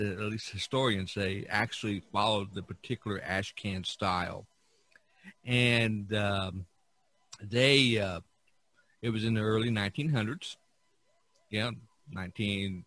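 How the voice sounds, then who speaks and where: A man hosting a casual podcast, talking in a halting way with broken phrases. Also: on the low side, a bit bright, no room sound. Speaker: podcast host.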